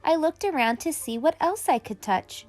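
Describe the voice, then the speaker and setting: A woman's voice: high-pitched, expressive, normal speed, a little mellow, soft background music. A woman hosting a children's read-aloud, speaking expressively and directly to young listeners.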